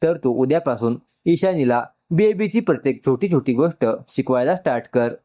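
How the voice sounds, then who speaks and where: A man voicing a composed, businesslike middle-aged mother in a story, with measured, matter-of-fact delivery. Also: mid-range voice, animated, highs cut off, natural voice. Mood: composed, firm.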